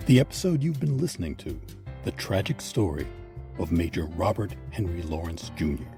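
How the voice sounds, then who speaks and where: A man hosting and narrating a scripted history piece with measured, emphatic phrasing. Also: deep voice, lively intonation. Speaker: host and narrator. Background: background music.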